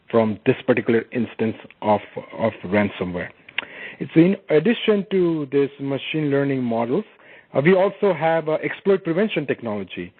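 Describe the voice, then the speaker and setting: Husky man presenting over a muffled phone line. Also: medium pitch. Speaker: security product presenter. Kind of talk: explanatory webinar product presentation. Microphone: conference call phone line.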